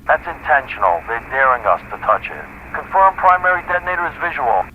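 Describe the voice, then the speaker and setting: A man's voice: lively intonation, a little fast, narrow, tinny audio, lightly acted. A man playing a grave bomb operation commander in a military radio drama, terse and matter-of-fact. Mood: tense, forceful. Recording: noisy radio link with rumbling ambience.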